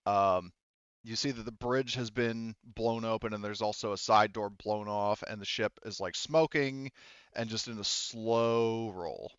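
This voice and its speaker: A man running an online tabletop game, narrating casually in a halting, informal way with some swearing, over voice-chat audio. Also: on the low side, steady tone, clean tone, no room sound, muffled recording. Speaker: game master.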